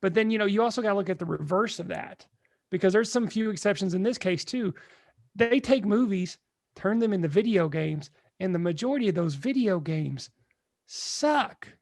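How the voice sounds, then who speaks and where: A man with a high voice and plenty of vocal fry speaks as a podcast co-host. He is casual and blunt, often cutting in on the discussion. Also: expressive, moderate pace, darkish tone.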